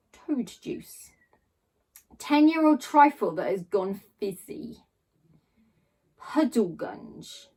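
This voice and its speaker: Expressive woman reading a children's storybook. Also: medium pitch, slightly rough, low-quality recording. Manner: theatrical, with dramatic pauses and drawn-out emphasis.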